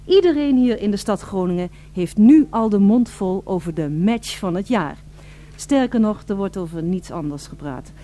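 A woman's voice: mid-range voice, animated, normal speed, mellow, natural voice. An actress playing an eager, polite female radio reporter in a radio drama, with formal, florid and emphatic delivery. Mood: grand, enthusiastic.

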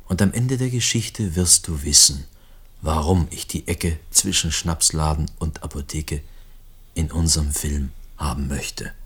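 An actor in a radio drama playing an earnest, measured filmmaker who talks about his family. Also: a bit bright, exaggerated voice. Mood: earnest, reflective. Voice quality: very deep, husky.

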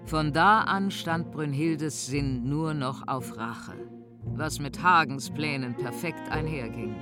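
A woman narrating a scripted radio drama in a measured, solemn manner. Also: low-pitched. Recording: string music behind the voice.

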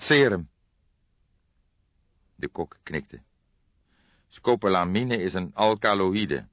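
A man with a low voice narrates a crime audiobook. His delivery is measured and scripted. The sound is somewhat muffled and close, with very little room in it. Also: a bit bright, no echo.

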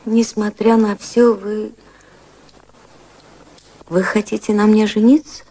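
An actress playing a candid, proud younger society woman with a romantic streak in a radio play, speaking in a formal, frank, measured way. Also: mid-range voice, flat delivery, warm tone, low-quality recording. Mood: ashamed.

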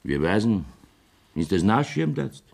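Blustering Bavarian mayor, very animated. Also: male voice, lowish voice, measured pace, gravelly, heavily acted. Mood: evasive. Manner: earthy, folksy, blustering. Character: wily, blustering village mayor, jovial and evasive. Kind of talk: dialect radio play acting.

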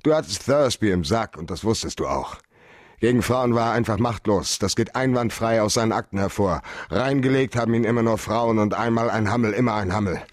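An actor playing a hard-boiled, cynical private detective in a radio crime drama, speaking in a terse, sardonic, interrogating manner. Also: male voice, lowish voice, brisk, dry recording, studio quality, lightly acted. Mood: coldly accusing. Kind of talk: 1990s German radio crime drama acting.

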